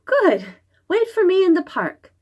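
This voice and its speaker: Woman as a high-pitched, bold little girl. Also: big pitch swings, measured pace, darkish tone, muffled recording, exaggerated voice. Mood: bold, urging. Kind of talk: children's storybook read-aloud.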